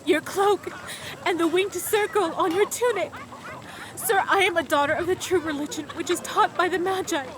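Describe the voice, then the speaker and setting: An actress playing a desperate, devout young merchant's daughter in an audio drama, earnest and imploring. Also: female voice, very high voice, moderate pace, background noise. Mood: earnest, frightened. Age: young adult.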